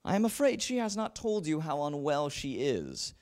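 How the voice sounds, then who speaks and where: A man's voice: fairly high pitch, wildly swooping pitch, normal speed, a little mellow. A young man giving a dramatic reading of historical letters into a handheld microphone at a lectern. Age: young adult. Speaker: reader at a literary event. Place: library event room with seated audience.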